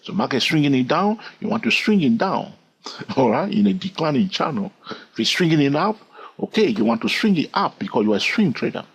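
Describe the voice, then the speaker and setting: Animated husky male trading teacher. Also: medium pitch, fairly quick. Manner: animated, repetitive, keeps asking "all right?". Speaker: trading instructor. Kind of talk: screen-recorded trading tutorial.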